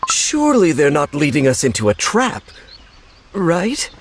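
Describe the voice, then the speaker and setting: A woman voicing a grave, wary and thoughtful cookie companion in a game cutscene, serious and measured. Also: deep voice, huge pitch swings, slight background noise, heavily acted. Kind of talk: animated game cutscene voice acting. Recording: music behind the voice.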